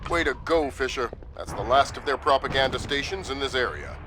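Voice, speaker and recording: An actor playing a stern, authoritative spymaster briskly briefing his agent in a video game. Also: medium pitch, big pitch swings, noisy background, lightly acted. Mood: approving, businesslike.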